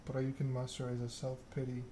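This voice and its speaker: Male ASMR narrator reading second-person fiction. Measured, unhurried, narrow pitch range, with clear background noise. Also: monotone, dark tone. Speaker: video game streamer reading game text aloud.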